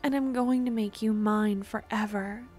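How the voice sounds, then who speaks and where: A woman voicing an obsessive, lovesick young necromancer stalker in an intimate ASMR roleplay, with a breathy, adoring, possessive murmur that often slips into whispers. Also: mid-range voice, steady tone, darkish tone, faint music behind, lightly acted. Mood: intense, pleading. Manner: adoring, possessive, halting dramatic delivery.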